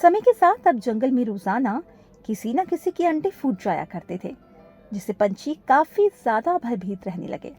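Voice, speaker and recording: High-pitched animated woman storyteller. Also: moderate pace, faint music behind. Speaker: narrator. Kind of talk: scripted children's cartoon story narration.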